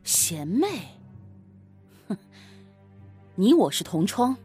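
Woman as young male official, jealous husband, sarcastic, pointed, animated melody, costume audio drama, faint music. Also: medium pitch, drawn-out words, husky, heavily acted. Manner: sardonic, snorting, pointed delivery.

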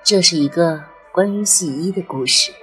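A woman a breathy voice and reads literary narration in a measured, expressive, dramatic way, as an audiobook narrator. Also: on the low side. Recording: music under the voice. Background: background music.

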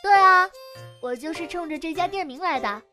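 A woman's voice: very high-pitched, normal speed, over music. A woman playing a bubbly, pushy young job applicant in a radio drama, gushing and playfully wheedling. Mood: eager pleading. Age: young adult.

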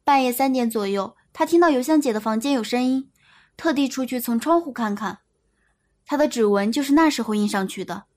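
A woman's voice: on the high side, a little fast, a little mellow, lightly acted. An actress playing an earnest woman explaining events in an audio drama. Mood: regretful, explaining.

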